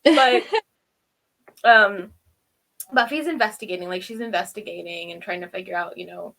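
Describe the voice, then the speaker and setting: A woman co-hosting a review show, casually recapping and commenting in an informal, animated way. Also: medium pitch, brisk, vocal fry, poor audio. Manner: informal, animated retelling with acted-out quotes. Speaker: co-host of a TV episode review show.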